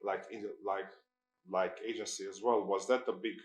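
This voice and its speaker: A man's voice: low voice, steady tone, moderate pace, echoey room. A man hosting a live industry talk who stammers, repeats words, and fills his questions with "like". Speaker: event host, interviewer. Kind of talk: live panel interview.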